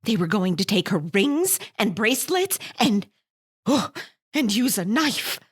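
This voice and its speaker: An actress playing an anxious, demanding, self-pitying invalid wife in a suspense radio drama, fretful and flustered. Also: female voice, mid-range voice, sing-song, unhurried, husky. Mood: nervous, fretful. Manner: fretful, indignant, flustered with stammered restarts.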